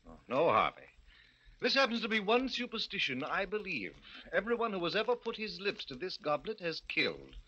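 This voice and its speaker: An actor playing a nervous, haunted gentleman host in a 1940s radio mystery, theatrical, grave and hesitant, on an old recording. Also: sits high, wildly swooping pitch, a little fast, husky, dull audio, exaggerated voice. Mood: reluctant, warning. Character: nervous, haunted host who believes in a curse.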